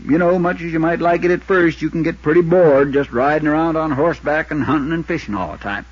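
A man playing a folksy, talkative Arizona insurance man with a drawl and a husky voice, in 1950s radio drama style. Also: fairly high pitch, fast. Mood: insistent, explanatory. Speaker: supporting actor. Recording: dull 1950s radio recording.

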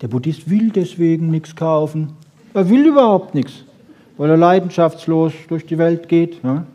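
A man lectures on science to an audience in a fairly high, husky voice with a soft, mellow tone. He is wry and conversational, often asking rhetorical questions and checking in with short tags. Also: normal speed. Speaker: lecturer on brain research.

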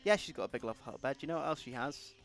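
Lively, wry woman commentator. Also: rumbling low, fast, faint music behind. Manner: wry, deadpan, joking. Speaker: let's play host. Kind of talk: casual gameplay commentary.

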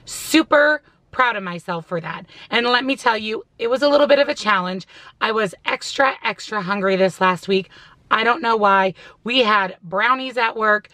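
Hoarse woman chatting in a parked car. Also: mid-range voice, normal speed, pushed and bright, dry recording. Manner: chatty, upbeat, emphatic. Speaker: weight-loss vlogger. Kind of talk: casual vlog chat to camera. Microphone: phone camera at arm's length.

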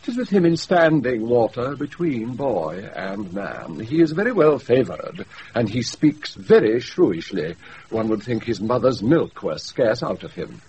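A British actor playing a fussy, pompous household steward in a Shakespeare radio play, mannered and theatrical, recorded off a noisy wireless. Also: male voice, mid-range voice, very animated, measured pace, darkish tone, highs cut off. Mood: disdainful.

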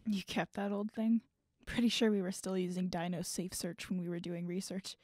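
A woman playing a guarded, regretful, bitter adult in an audio drama, speaking haltingly. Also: on the low side, steady tone, a little mellow, no room sound, clean studio sound, close to an everyday voice. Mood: wry, wistful. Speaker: voice actor in student audio drama. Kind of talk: naturalistic audio drama dialogue.

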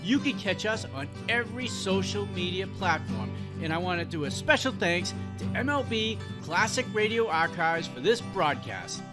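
Lively male promo host over guitar music. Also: sits high, moderate pace, hardly any pauses. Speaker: podcast intro host. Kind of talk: scripted promotional narration. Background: country guitar music.

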